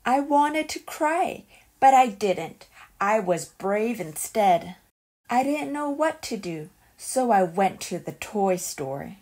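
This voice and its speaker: A woman reading a children's picture book aloud as a storyteller, in an expressive and deliberate way. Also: mid-range voice.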